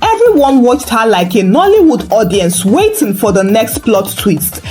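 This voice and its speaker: A woman narrating a scripted folktale in an expressive, dramatic storytelling manner. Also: on the high side, normal speed, soft background music. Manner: dramatic, animated delivery.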